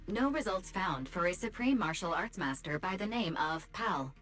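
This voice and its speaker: A polite AI assistant from a video game. Her voice is slightly low with a wide, lilting melody, and she speaks formally and precisely. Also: expressive, normal speed, a bit bright, faint music behind. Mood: formal, matter-of-fact. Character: polite, matter-of-fact female AI assistant. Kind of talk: English video game voice acting.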